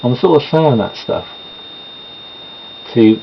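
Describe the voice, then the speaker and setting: Rambling man, gravelly voice. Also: medium pitch, slow pace. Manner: chatty, meandering, self-deprecating asides. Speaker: vlogger doing makeup. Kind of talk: rambling casual chat. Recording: dull lo-fi home recording with noise.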